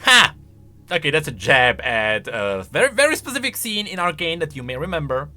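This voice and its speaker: A man's voice: fairly high pitch, very animated, moderate pace, bright, forceful tone. A man giving casual, wry, opinionated reaction commentary as a video game lore commentator.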